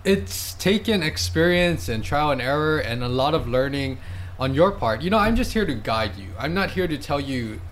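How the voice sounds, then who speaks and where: A man who is a fitness and nutrition coach, explaining calmly and affirmingly in a casual conversation. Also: a little high, normal speed, a little hiss or hum.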